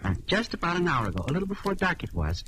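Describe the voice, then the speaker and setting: Timid little ship's cook, noisy recording. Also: male voice, fairly high pitch, big pitch swings, fast talker. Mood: hesitant, earnest. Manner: hesitant, meek, evasive. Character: tiny, timid, chuckling male ship's cook. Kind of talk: 1940s radio drama acting. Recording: noisy old radio transcription.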